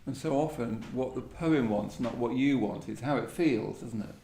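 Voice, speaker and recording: Gravelly man in an echoey room. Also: medium pitch, moderate pace, dark tone. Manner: tentative, thoughtful phrasing with tag questions. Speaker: host of a poetry event. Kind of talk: reflective literary discussion. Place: echoey indoor venue at a live event. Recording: roomy echo, faint background noise.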